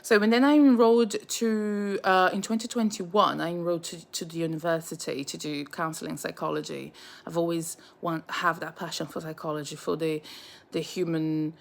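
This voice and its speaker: A woman's voice: on the low side, steady tone, normal speed, gravelly. A Brazilian-born woman of nearly forty with a Brazilian Portuguese accent, telling her life story in a chatty personal vlog, full of fillers and restarts. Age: 30s. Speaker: vlogger.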